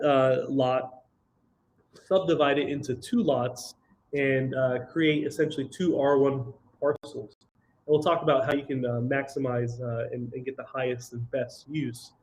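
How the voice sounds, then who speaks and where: A man with a slight cold and a nasal voice, giving an online slide presentation over a video call, halting with ums, restarts and throat clearing. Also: mid-range voice, darkish tone, low-quality recording. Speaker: presenter of a housing webinar.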